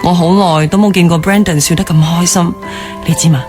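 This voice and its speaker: A woman's voice: fairly low pitch, fairly even intonation, a little fast, darkish tone, lightly acted. An actress playing a candid, self-possessed, wistful former girlfriend in radio drama dialogue. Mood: probing, friendly. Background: music bed.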